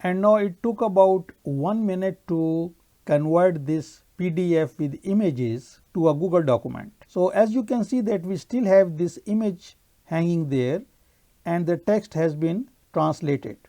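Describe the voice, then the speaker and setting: A man whose first language is Urdu, narrating a screen-recorded software tutorial and explaining each step in turn. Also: high voice, expressive, unhurried.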